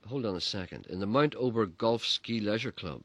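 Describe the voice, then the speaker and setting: A middle-aged man, hosting radio in a studio. His husky, bright-edged voice rises and falls in lively swings as he teases his guests with deadpan tall tales. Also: lowish voice, brisk, no echo. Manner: playful deadpan teasing, spins tall tales. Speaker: radio show host. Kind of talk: casual radio chat and reading listener messages.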